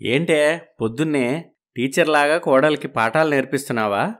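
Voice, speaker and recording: A man's voice: on the high side, moderate pace, fairly bright tone, lightly acted. A man playing a middle-aged father-in-law in a story, teasing and questioning in a familiar way.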